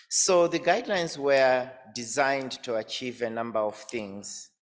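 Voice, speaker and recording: A man from Zambia presenting as an academic, hesitant with many fillers and repeated words. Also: medium pitch. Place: conference hall. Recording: reverberant conference hall, off-mic at times.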